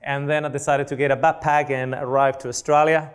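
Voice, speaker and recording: A man's voice: medium pitch, flat delivery, a little fast, clean tone. A man from Spain with a Spanish accent, a clinical nutritionist giving a chatty seminar talk to an audience, asking them questions. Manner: chatty, asks the audience questions, joking asides.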